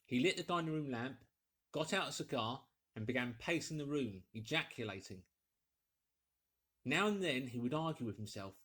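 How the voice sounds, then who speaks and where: Husky male storyteller with animated delivery. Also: mid-range voice, normal speed. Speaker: audiobook narrator, actor. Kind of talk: dramatic scripted story narration.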